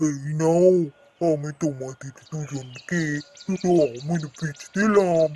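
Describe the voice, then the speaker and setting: পুরুষ কণ্ঠে ধীরলয়ে কথা বলা দয়ালু গাছ, পেছনে বাজনা. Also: male voice, a little high, slow, over music. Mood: warm, generous. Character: kindly talking forest tree, gentle friendly giver. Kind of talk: children's fairy-tale cartoon acting.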